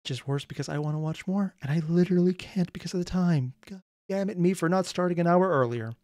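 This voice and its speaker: Animated, sweary reaction host, dry close sound. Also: mid-range voice, fast talker, no room sound. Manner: animated, exasperated, profane. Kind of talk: casual reaction commentary.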